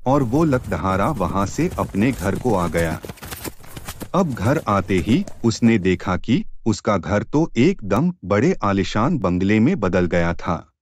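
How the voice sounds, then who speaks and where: A man narrating a cartoon fairy tale in an animated storytelling style. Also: on the low side, slow pace, dry recording.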